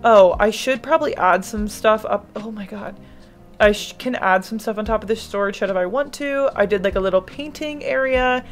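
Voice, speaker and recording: A woman's voice: medium pitch, normal speed. A young American woman with a slight lisp, giving a casual, rambling voiceover of her game build. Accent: General American. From United States. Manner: rambling, self-interrupting, laughs often.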